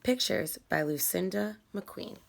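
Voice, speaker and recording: Woman, children's read-aloud, slow pace, very lively pitch, emphatic delivery. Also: vocal fry. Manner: deliberate, emphatic storytelling. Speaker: teacher reading a picture book aloud.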